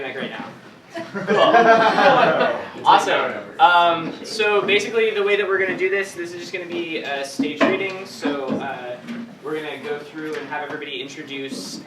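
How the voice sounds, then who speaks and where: A young man hosting a staged reading, introducing it to an audience in a casual, informal, hesitant way. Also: fairly high pitch. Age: young adult. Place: echoey indoor room with audience. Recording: echoey room, distant mic, noisy crowd.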